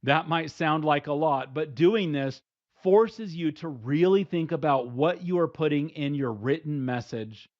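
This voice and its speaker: A middle-aged man giving steady, plain, numbered advice in a scripted instructional talk. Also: a little high, a little slow. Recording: dull lo-fi recording.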